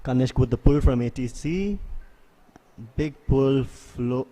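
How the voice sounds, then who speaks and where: A man giving live play-by-play sports commentary in a measured, analytical way. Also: medium pitch, warm tone. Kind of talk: live play-by-play commentary of an ultimate frisbee match.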